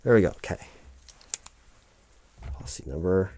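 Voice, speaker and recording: A man's voice: low voice, lively intonation, normal speed, warm tone. A man playing a flustered, apologetic office clerk in a one-sided ASMR roleplay, hesitant and rambling as he corrects himself. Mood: flustered, distracted. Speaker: claims paperwork interviewer.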